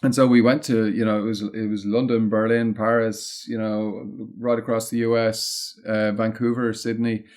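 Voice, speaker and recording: A man with an Irish accent and a fairly low-pitched voice talks in a casual interview style. He is discursive, building his points aloud as an experienced guest would. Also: little pitch movement, normal speed, few pauses, a little mellow. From Ireland. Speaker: podcast guest, content marketing consultant.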